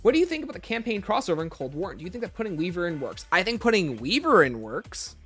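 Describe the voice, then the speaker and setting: A man's voice: high-pitched, very animated, quick pace, a bit bright, husky, faint music behind. A man hosting a casual livestream, reading out chat questions and answering them conversationally, breaking off to greet and thank viewers. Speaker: livestream host.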